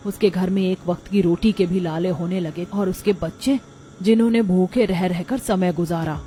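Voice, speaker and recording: A woman's voice: on the low side, normal speed, dark tone, close to an everyday voice. A woman voicing a shrewd, supportive female neighbour in a cartoon, assertive and righteous. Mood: accusing, righteous.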